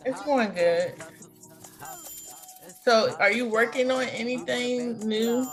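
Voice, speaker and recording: A woman's voice: on the high side, takes it slowly, faint music behind. A woman speaking as an interview show host in a casual, conversational way, with informal, unscripted phrasing.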